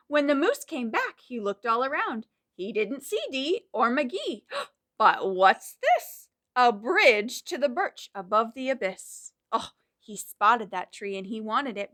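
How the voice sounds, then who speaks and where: A woman's voice: high voice, a bit bright. A woman hosting a storytime for young children, reading expressively and dramatically and putting questions to her listeners. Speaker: children's library storytime host. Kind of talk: animated picture-book reading and rhymes for young children.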